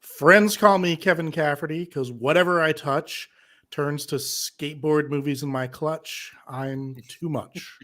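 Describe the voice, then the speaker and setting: A man's voice: fairly high pitch, a little slow, a bit bright. A man joking casually as a panelist on a video call. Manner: jokey.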